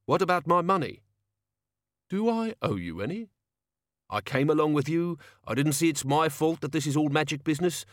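A gruff crook from an audiobook, played by a male narrator, pitched a little above an everyday male voice. He talks bluntly and scornfully, in rough speech, with a dry, close sound. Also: animated, moderate pace, no room sound, close to an everyday voice. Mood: aggrieved. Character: burly cockney locksmith, gruff, defiant criminal old hand.

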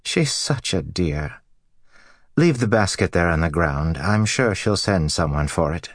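A man's voice: low-pitched, lively intonation, unhurried, no room sound, studio quality. A man voicing a grey-haired, homely farm wife, anxious and kindly, in an audiobook. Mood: fond, chatty. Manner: homely, fussing, practical. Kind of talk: audiobook character dialogue.

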